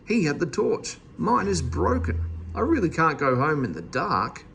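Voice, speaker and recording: A man's voice: on the high side, very animated, unhurried, gravelly, a bit bright, slight background noise, exaggerated voice. A man voicing a foolish, fretful, grumbling storybook character who exclaims and fusses. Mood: dismayed. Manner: exclaiming, fussy.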